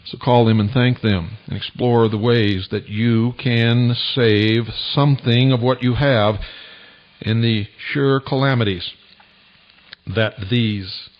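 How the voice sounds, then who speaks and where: An American man hosting a talk radio monologue, speaking deliberately with dramatic pauses and a portentous, preaching manner, on an old shortwave recording. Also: mid-range voice, fairly even intonation, dull audio. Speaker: radio show host. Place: radio broadcast.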